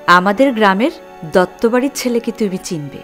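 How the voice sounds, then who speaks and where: A woman's voice: medium pitch, fairly quick, a bit bright, faint music behind, natural voice. A woman playing a naive, shy young orphaned bride with a plaintive manner in an audio drama. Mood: shy, teasing. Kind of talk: Bengali audio drama acting.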